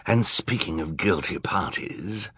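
A man's voice: deep voice, lively intonation, measured pace, bright, forceful tone, slightly rough, dry recording, clean studio sound, exaggerated voice. Storybook villain, male narrator acting: snarling, oily and theatrical, drawing words out with swooping smugness. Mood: smug. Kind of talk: audiobook character acting.

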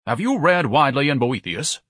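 Man playing a pompous, bombastic orator, very animated. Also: mid-range voice, moderate pace, slightly rough, no room sound, clean studio sound, voice pushed up. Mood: solemn, lecturing. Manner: orator-like, stern, solemn, florid diction. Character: pompous, bombastic, scholarly man with grand political schemes. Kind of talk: audiobook character acting.

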